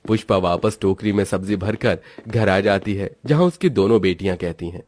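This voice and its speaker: A man's voice: on the low side, moderate pace, darkish tone, no room sound. A man narrating a scripted cartoon story as its storyteller.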